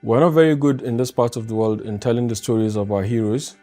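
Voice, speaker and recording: A Nigerian man giving a prepared testimonial in a measured, formal, earnest way. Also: mid-range voice, steady tone, dark tone, soft background music.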